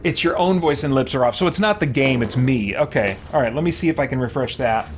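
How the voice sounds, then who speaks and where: A man's voice: medium pitch, lively intonation, quick pace, noisy background. A man who hosts a gaming channel, chatting casually to viewers as he streams. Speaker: video game streamer and channel host. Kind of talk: casual livestream chat.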